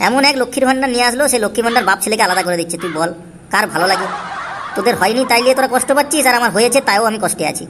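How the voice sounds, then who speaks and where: A man voicing a disgruntled, coarse husband in an amateur comedy cartoon, grumbling and hectoring, with artificially raised pitch. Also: very fast, noisy background. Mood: bitter, grumbling. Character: disgruntled, bitter husband railing at his family. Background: faint music.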